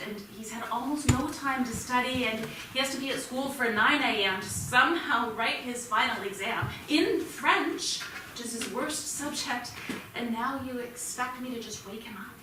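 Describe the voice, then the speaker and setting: A woman's voice: a little high, normal speed, natural voice. An actress playing a protective, indignant mother in a staged play reading, flustered and defensive. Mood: indignant, pleading. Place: theatre stage, indoors. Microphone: distant room microphone. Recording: very echoey theatre, distant mic, noisy.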